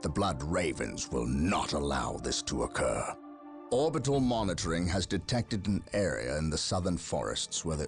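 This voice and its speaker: A man playing a stern, burdened space marine captain in a video game, with grave, measured, commanding military delivery and a gravelly voice. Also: extremely low pitch, heavily acted. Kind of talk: dramatic video game acting. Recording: music behind the voice.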